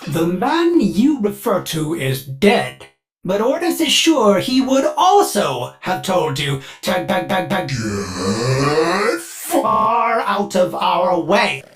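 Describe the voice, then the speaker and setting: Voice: medium pitch, very animated, takes it slowly, over loud music. An actor voicing a prim, loyal and defiant artificial intelligence servant in a video game, with formal speech, glitchy stutters and synthetic processing. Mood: flustered, indignant. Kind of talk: theatrical scripted video game dialogue.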